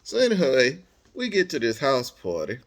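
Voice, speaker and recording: An American man telling a personal story casually, in animated, slangy speech, acting out bits of dialogue as he goes. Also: mid-range voice, normal speed.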